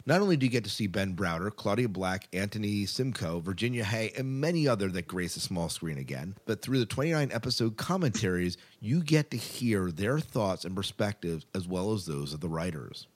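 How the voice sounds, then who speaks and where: A man's voice: on the low side, expressive, a little fast, hardly any pauses. A man hosting a podcast, moving between scripted promotion and chatty asides. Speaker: podcast host.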